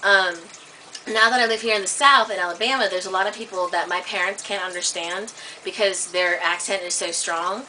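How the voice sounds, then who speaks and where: A woman vlogging in a casual, rambling monologue to camera, full of "like" and "um", getting animated and high when worked up. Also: a little fast. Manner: chatty, filler-heavy, many "like" and "um" restarts. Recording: distant webcam mic with faint hiss.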